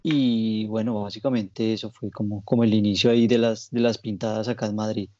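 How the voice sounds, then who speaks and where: A man with a Colombian accent talks casually as an interview guest. His voice is dark and relaxed, and he phrases things reflectively, with small softeners. The recording is dry and a little dull. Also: lowish voice, fairly quick, no room sound. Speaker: interview guest, graphic designer and muralist.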